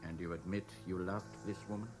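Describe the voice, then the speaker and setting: An actor with a British accent playing a stern examining magistrate, formal and grave, in a radio drama. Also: male voice, sits low, fairly even intonation, brisk, music in the background, natural voice. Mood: solemn, judicial. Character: stern, measured examining magistrate passing judgement. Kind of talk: BBC radio drama acting.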